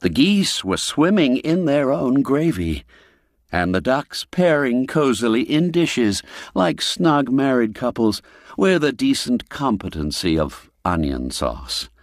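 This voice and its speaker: A man narrating a classic folk tale in a theatrical, deliberate manner, savouring pauses and key words. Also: mid-range voice, very animated, dry recording, studio quality. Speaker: audiobook narrator.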